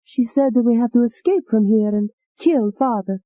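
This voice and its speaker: A woman's voice: on the high side, moderate pace, dark tone, dry recording, pitched above the performer's own voice, natural voice, rough recording. Woman voicing pleading young woman alter.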